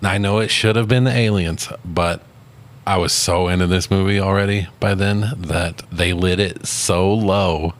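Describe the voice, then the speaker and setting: A man's voice: sits low, steady tone, measured pace. A man co-hosting a podcast, chatting about movies in a laid-back, informal way. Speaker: podcast co-host. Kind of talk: casual movie review chat.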